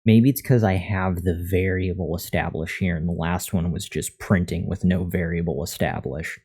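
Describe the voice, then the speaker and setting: Male live streamer, very low and relaxed, monotone, casual desk-mic chat while learning to code. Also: normal speed, breathy edge. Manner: thinking aloud, reading out and answering chat. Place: untreated room at a computer. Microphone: USB condenser microphone at a desk.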